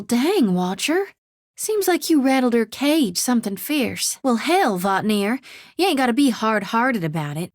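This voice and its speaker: This is a plucky, warm-hearted young priestess, as played by a woman, speaking in a plainspoken rural drawl. Her voice sits a little higher than most women's. The sound is close and dry, with no room around it. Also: no echo, lightly acted. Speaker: companion character. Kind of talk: video game voice acting. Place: recording studio.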